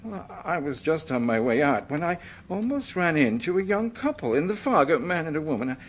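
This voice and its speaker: Animated, high-voiced American collector. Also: male voice, fairly quick, a little hiss or hum. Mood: anxious recollection. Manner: polite, defensive, stammering. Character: evasive, flustered American manuscript collector under questioning. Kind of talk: radio drama acting.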